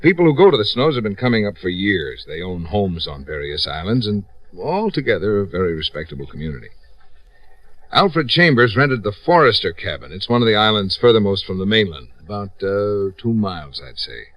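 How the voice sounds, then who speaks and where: Low, dry-sounding police captain. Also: male voice, very animated, brisk, a bit bright, dry recording. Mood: helpful, informing. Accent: American. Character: helpful, unhurried small-town male police captain. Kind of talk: 1950s radio drama acting. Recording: vintage radio recording.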